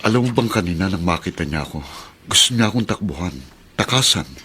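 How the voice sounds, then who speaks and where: A man's voice: low voice, expressive, quick pace, sharp tone, slight background noise. A man playing a concerned, protective fatherly figure with a Filipino accent in a radio drama, speaking earnestly and solicitously. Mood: worried, subdued. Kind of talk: Philippine radio drama acting.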